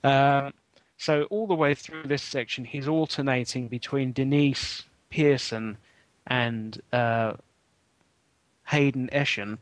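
A man's voice: medium pitch, steady tone, slow pace, no echo, poor audio. A man co-hosting a podcast, telling a story in a casual, wry way with lots of ums. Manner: chatty, wry storytelling with many fillers. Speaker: podcast co-host. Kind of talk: casual chat.

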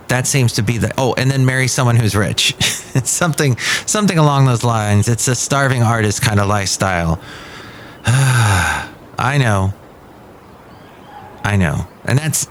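A man's voice: mid-range voice, slight background noise. A middle-aged man hosting a podcast in a café, talking in a rambling, chatty way that keeps interrupting itself and drifting off topic. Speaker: podcast host. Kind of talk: rambling casual monologue.

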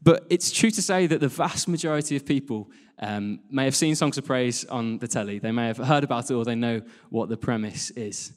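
A man's voice: medium pitch, a little fast, hardly any pauses. A British man giving an informal sermon in a chatty, jokey way, speaking as a church worship leader and engaging his listeners with questions. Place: large church hall in front of a congregation. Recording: reverberant hall, live church talk.

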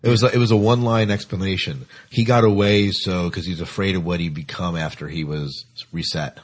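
Male podcast co-host, low and husky, conversational. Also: steady tone, a little fast. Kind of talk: casual panel chat.

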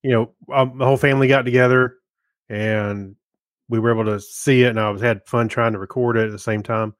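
A man co-hosting a show, talking casually in an easygoing, loosely phrased and halting way. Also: mid-range voice, fairly even intonation, dry recording. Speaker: podcast co-host. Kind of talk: casual chat.

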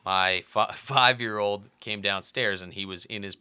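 A man's voice: on the low side, steady tone, measured pace, pushed and bright, smooth. A middle-aged man chatting casually as a podcast guest, telling stories in a self-interrupting way.

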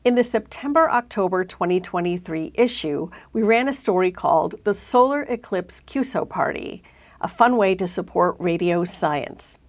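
Female podcast host, a bit low in pitch, presenting and asking questions. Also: lively intonation, moderate pace. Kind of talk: scripted introduction and interview questions.

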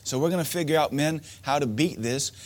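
A man's voice: a little high, fairly quick. A man preaching a sermon to men, emphatic and repetitive, asking rhetorical questions. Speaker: pastor.

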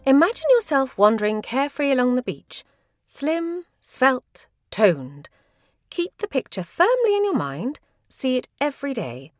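A woman's voice: sits high, very animated, measured pace, dry recording, clean studio sound, pitched above the performer's own voice. A woman voicing a breezy, pushy sister who gushes encouragement in storybook dialogue. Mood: gushing, encouraging. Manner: emphatic, gushing encouragement. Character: Louise, breezy, pushy sister preaching positive thinking.

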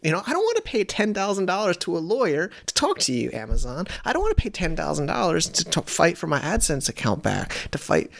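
A man's voice: a little high, wildly swooping pitch, brisk, vocal fry, hardly any pauses, a bit bright. A man in his late thirties, an internet marketer, ranting in a casual vlog in an exasperated, repetitive way. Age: late 30s.

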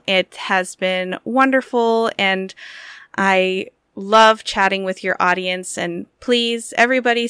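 Woman interview guest, crisp bright voice, dry close sound, conversational promotional talk. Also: mid-range voice, no echo. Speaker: magazine publisher, interview guest.

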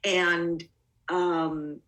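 A woman's voice: fairly low pitch, level tone, very slow, a bit bright, distant mic. A woman from Bulgaria, a production designer being interviewed, talking reflectively with frequent restarts. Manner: reflective, many restarts and "I mean". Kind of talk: conversational interview.

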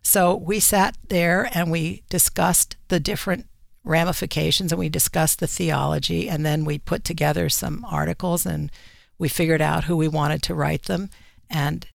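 A woman speaking as an editor and radio guest, explaining things conversationally in deliberate, measured phrases. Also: sits low, fairly even intonation, gravelly, dry recording.

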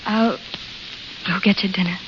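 An actress playing a blunt, restless young American desert waitress with wistful dreams, plain-spoken in an old radio drama style. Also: female voice, medium pitch, steady tone, moderate pace, loud music behind the voice, natural voice. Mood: brisk, curious. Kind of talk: 1930s-40s radio drama acting. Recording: muffled, hissy vintage radio recording.

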